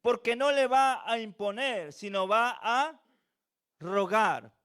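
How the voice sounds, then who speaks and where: A man's voice: sits high, a bit bright, clean tone. A man from Venezuela with a Venezuelan accent touched by Chilean, preaching a sermon in a church with earnest repetition and rhetorical questions. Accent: Venezuelan with a slight Chilean tinge.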